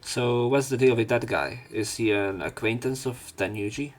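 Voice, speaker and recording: A man's voice: mid-range voice, normal speed, faint music behind. A man reading a visual novel aloud as a let's play host, with his own asides.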